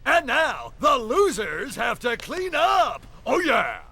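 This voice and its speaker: An actor playing a brash, pumped-up beer mascot announcing an eating contest in a booming, drawn-out showman's delivery. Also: huge pitch swings, creaky, pushed and bright, heavily acted. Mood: bombastic, excited. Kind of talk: bombastic event announcing.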